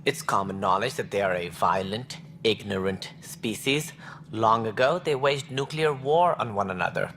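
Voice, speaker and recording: An actor playing a stern, proud alien administrator in a video game, speaking in formal, measured, clipped phrases. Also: male voice, fairly low pitch, fairly bright tone, slight background noise. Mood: contemptuous. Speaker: alien colony director.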